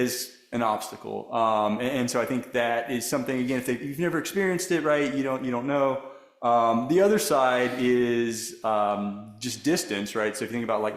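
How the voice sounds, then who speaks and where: A middle-aged man lecturing at a workshop answers audience questions, rambling and restarting his sentences often. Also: mid-range voice. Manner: rambling, self-correcting, many restarts. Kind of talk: academic Q&A answers. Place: lecture room. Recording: echoey room.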